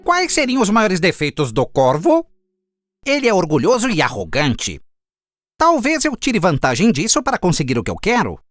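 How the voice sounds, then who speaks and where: An actor performs a sly, cheeky fox from a children's cartoon. The delivery is smug and coaxing, with very animated, swooping intonation. Light music plays behind the voice. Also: medium pitch, normal speed, no room sound, studio quality. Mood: scheming. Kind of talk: children's cartoon fable character voice.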